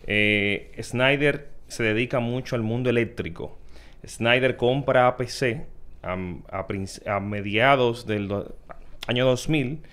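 A Dominican man with a Dominican Spanish accent and a smooth, resonant voice, talking as a guest on a live tech show. He explains things patiently and nods along with short affirmations. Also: medium pitch, slow, fairly bright tone. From Dominican Republic. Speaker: guest, regional manager at an electrical company. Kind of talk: casual interview talk.

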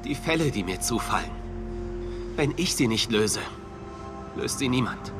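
An actor playing an eccentric, overconfident detective in a dubbed TV comedy, theatrical and rambling, with dramatic pauses. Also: male voice, medium pitch, expressive, music in the background, natural voice. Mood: earnest, troubled. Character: eccentric, excitable, overconfident male holistic detective. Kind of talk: German-dubbed TV comedy acting.

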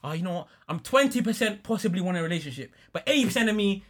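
A man's voice: high voice, rapid-fire. A man, co-hosting a casual podcast chat, talking animatedly over his friends. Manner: animated, talks over others, swears. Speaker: podcast co-host.

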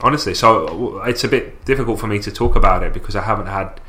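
A young man co-hosting a podcast, thinking aloud with many restarts and pauses. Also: fairly low pitch, bright, forceful tone. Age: young adult. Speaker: podcast co-host. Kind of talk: casual chat.

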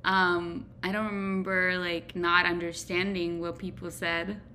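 A woman's voice: on the low side, level tone, a little slow, bright, forceful tone, slight background noise. A woman hosting a casual interview, asking curious, conversational questions. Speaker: interviewer, host.

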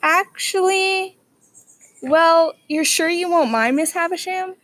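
A woman's voice: very high voice, slow, fairly bright tone, full character voice. A woman playing a bold, curious and slightly bossy schoolgirl in an amateur audio drama. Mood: flustered, polite.

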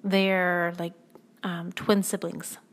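A woman hosting her own podcast, talking in a soothing, reflective way and pausing often to gather her thoughts. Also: fairly low pitch, level tone. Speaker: podcast host. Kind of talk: reflective spoken monologue.

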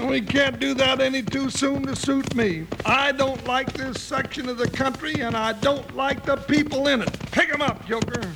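An actor playing a big comic deputy sidekick in a radio western, folksy and complaining, with an American Western accent. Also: male voice, extremely high pitch, steady tone, moderate pace, gravelly, hardly any pauses. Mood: grumbling. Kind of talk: 1950s radio western acting. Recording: noisy vintage radio recording.

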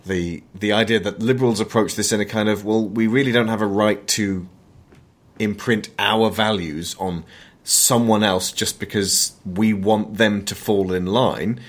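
A man hosting a conversational film discussion, emphatic and opinionated, sometimes halting as he searches for words. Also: fairly low pitch, steady tone. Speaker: podcast host.

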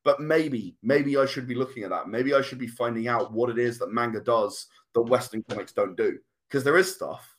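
A man's voice: mid-range voice, normal speed, few pauses. A man speaking as an interview guest, thoughtfully explaining his creative work at length in conversation. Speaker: comic and game writer, interview guest.

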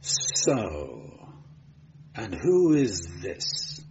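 A man voicing a keen, cool chief investigator in an audiobook, questioning with brisk authority. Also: low-pitched, wildly swooping pitch, darkish tone, full character voice. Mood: stern. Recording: dull, noisy amateur recording.

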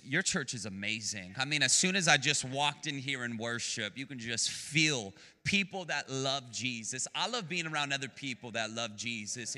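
An American man in his late twenties preaches as a guest at the pulpit, chatty and enthusiastic, joking with the congregation. His voice is very bright and sharp, with a middling pitch. The sound rings with the long reverb of a church sanctuary. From United States. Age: 20s. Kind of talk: sermon.

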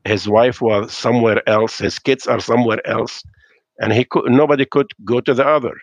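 A man's voice: medium pitch, fairly even intonation, normal speed, no room sound. A middle-aged man from Lebanon, speaking thoughtfully with hesitations as a guest in a remote interview from home. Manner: thoughtful, hesitant with fillers, slightly non-native phrasing. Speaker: podcast guest. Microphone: video call.

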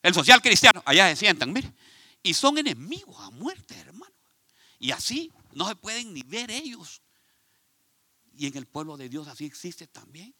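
A man from Ecuador with an Ecuadorian Spanish accent, preaching a sermon in an animated, conversational way and speaking straight to his congregation. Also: high voice, normal speed, no echo. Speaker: pastor. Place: church.

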